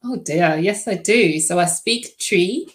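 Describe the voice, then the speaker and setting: Middle-aged woman, Dutch native speaker, low-pitched, friendly and halting. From Netherlands. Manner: friendly, many "um" fillers. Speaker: podcast guest. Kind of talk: casual interview answers.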